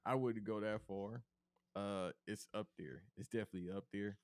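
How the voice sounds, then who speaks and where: Deep-voiced man in lively wrestling chat. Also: moderate pace, no room sound, clean studio sound. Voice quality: deep bass voice. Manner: animated, emphatic, slangy, calls his cohost bro. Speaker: podcast co-host.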